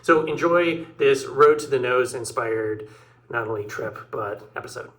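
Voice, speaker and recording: A man's voice: medium pitch, measured pace, fairly bright tone. A man hosting his own climbing video, chatting casually and rambling through an anecdote with a laugh. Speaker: show host introducing episode. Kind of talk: casual chat.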